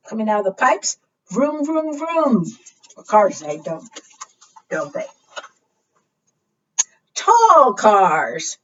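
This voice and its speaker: A woman leading a preschool storytime, reading rhymes aloud and putting questions to small children in a sing-song way. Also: mid-range voice. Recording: muffled, distant microphone.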